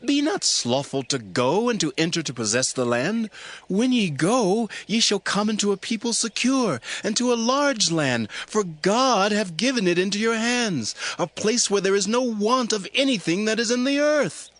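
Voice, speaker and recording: A man's voice: high-pitched, lively intonation, moderate pace, fairly bright tone, dry recording. An actor playing a bold Danite man urging his people on in declamatory, archaic speech in a dramatized scripture. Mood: urgent, rousing. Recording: music behind the voice.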